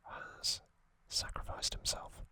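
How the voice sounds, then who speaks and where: A man whispering trivia softly for an ASMR video, close to the microphone, with frequent ums and uhs. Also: takes it slowly. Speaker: ASMR channel host.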